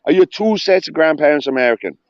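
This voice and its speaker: A man's voice: a little high, animated, quick pace. A young man arguing heatedly over voice chat, insistent and talking over the other man. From Wales. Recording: dull, dry lo-fi online audio.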